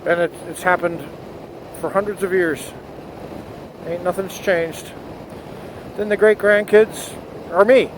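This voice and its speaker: Motovlogger, male, high voice, earnest and fervent, helmet mic with wind noise. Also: steady tone, takes it slowly, long pauses. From United States. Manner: earnest, rising to patriotic fervor. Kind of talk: spoken essay to camera. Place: on a motorcycle outdoors. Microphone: helmet headset mic.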